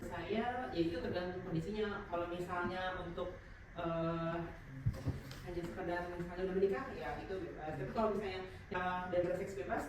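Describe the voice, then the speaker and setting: A woman's voice: lowish voice, fairly even intonation, fairly quick. A woman being interviewed who answers hesitantly, thinking aloud. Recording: distant echoey room with noise.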